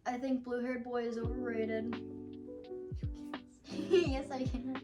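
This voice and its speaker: Young woman, casual, rambling, lots of fillers, higher pitch, guitar music under. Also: fairly even intonation, takes it slowly. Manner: rambling, full of "like" and little laughs. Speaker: songwriter answering fan questions. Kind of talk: casual chat.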